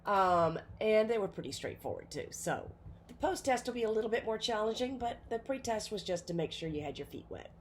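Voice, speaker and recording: A woman's voice: medium pitch, lively intonation, brisk, a little hiss or hum. A woman with a cold giving a nursing pharmacology lecture in an informal way, with many fillers and self-corrections. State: has a cold. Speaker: nursing instructor. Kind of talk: recorded classroom lecture.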